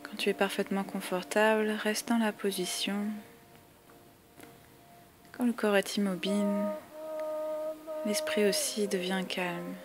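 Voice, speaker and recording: A woman guiding a yoga relaxation meditation with calm, soothing, measured instructions and long pauses. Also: mid-range voice, background noise. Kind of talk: guided meditation, slow spoken body-scan instructions. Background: music.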